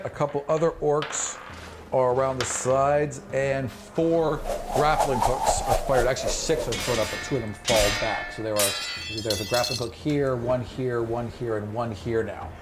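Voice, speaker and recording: Game master man over clear background noise. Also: medium pitch, moderate pace. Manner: acts out sound effects while describing action. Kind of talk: improvised narration of a tabletop fantasy game.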